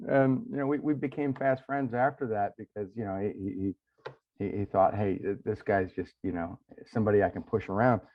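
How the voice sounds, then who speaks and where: Man, podcast host, low voice, muffled lo-fi audio, relaxed rambling chat. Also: fairly quick. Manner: relaxed storyteller, many restarts. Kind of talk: casual interview chat. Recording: dull lo-fi sound.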